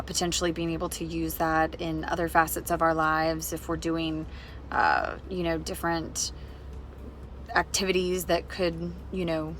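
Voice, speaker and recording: A woman's voice: low voice, fairly bright tone, slight background noise. A woman casually giving her opinion in an interview.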